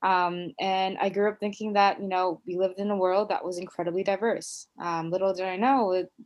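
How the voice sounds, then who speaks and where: A woman's voice: medium pitch, fairly even intonation, normal speed, highs cut off. A woman co-hosting a podcast, telling her own story in a reflective, casual way with many fillers and restarts. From United States. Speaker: podcast co-host.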